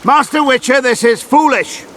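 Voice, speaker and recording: A man's voice: very high voice, moderate pace, pushed and bright, slight background noise. An actor playing an indignant common townsman in a fantasy video game, whining, scornful and blunt. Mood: bitter, scornful. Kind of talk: dramatic video game dialogue.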